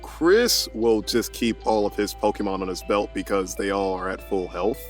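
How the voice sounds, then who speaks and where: A man's voice: lowish voice, normal speed. A man playing in a casual tabletop roleplay podcast, hesitant and often repeating and correcting his words. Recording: music under the voice.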